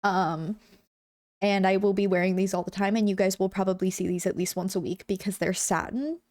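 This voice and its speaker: A young woman talks to her live viewers from home in a bubbly, rambling way, stringing thoughts together with "like" and "um". Her voice sits at an ordinary middle pitch and sounds a little congested. She speaks into a desk mic. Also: steady tone, brisk, darkish tone. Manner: bubbly, chatty, lots of "like" and "um". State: a bit congested. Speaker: streamer. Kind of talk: casual chat with live chat.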